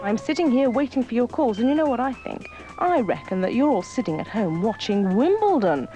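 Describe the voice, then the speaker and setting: A woman's voice: a little high, big pitch swings, a little fast, over music. A woman hosting a radio phone-in, chatting live and coaxing listeners directly. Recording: dull, lo-fi radio clip.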